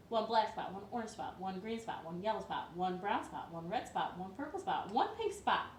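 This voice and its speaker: Expressive woman reading to children, roomy sound. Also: mid-range voice. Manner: expressive and playful, with drawn-out words and questions to listeners. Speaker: storytime host for young children. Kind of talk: animated picture-book read-aloud for children. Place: living room at home. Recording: roomy, distant mic with faint noise.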